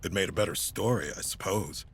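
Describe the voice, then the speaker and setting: An actor playing a battle-hardened knight hero in a fantasy audio drama. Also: male voice, deep voice, a little fast, fairly bright tone, husky, heavily acted. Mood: rueful, reflective. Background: faint music.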